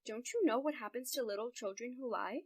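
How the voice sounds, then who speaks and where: A woman playing a bitter, scolding, bickering mother in a radio drama, blunt and sharp-tongued. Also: on the high side, a little fast, breathy edge, no room sound. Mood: scolding, irritated.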